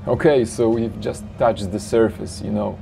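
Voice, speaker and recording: A man's voice: fairly low pitch, fairly even intonation, moderate pace, creaky, noisy background. A man presents flight simulator cockpits in an upbeat, salesy way, often adding "you know" and "of course". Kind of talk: product showcase narration. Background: background music.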